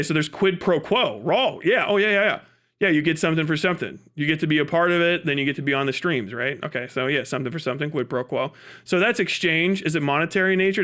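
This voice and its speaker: Guy streamer with a pretty high voice, ranting off the cuff, sweary, keeps asking and answering his own questions. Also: a bit bright. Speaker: livestream host. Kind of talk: casual ranting political commentary.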